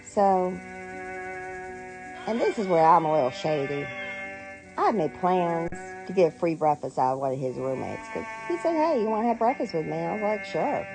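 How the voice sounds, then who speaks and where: A woman's voice: fairly low pitch, big pitch swings, normal speed, poor audio. A middle-aged American woman telling a personal story casually, with a playful, sassy manner and acted-out dialogue. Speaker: storyteller, online content creator. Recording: music playing behind the voice. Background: clear background music.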